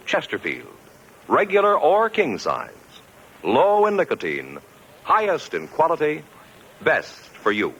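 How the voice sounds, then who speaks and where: A man's voice: a little high, wildly swooping pitch, a little slow, a bit bright, slightly rough, rough recording. A man with an American accent announcing formally and emphatically in 1950s radio style. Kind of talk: 1950s scripted radio narration and advertising.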